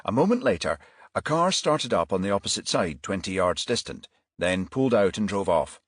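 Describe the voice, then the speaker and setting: A man's voice: fairly low pitch, big pitch swings, moderate pace, husky, no echo, studio quality. A man reading a crime thriller audiobook as scripted narration.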